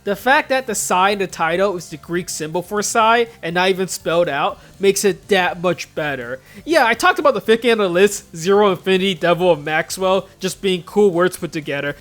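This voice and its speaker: An animated YouTube host delivers scripted commentary with a widely swinging pitch. Key words get emphatic, drawn-out stress for comic exaggeration. Faint music plays behind the voice. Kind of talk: scripted video commentary on visual novels.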